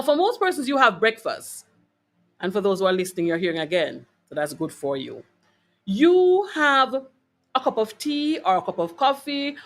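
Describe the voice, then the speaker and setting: A woman with a Jamaican accent hosting a wellness broadcast, talking informally and emphatically to her online audience and checking in with short tag questions. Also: medium pitch. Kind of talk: informal motivational health talk to a live online audience.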